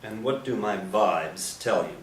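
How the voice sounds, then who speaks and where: A man's voice: on the low side, animated, measured pace. A man playing a sly priest who teases and provokes, in a live reading of a stage play. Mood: needling. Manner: teasing, provocative. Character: Father Frank, sly, provocative male priest, needling others. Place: echoey indoor stage or hall. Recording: echoey room, distant mic, faint hiss.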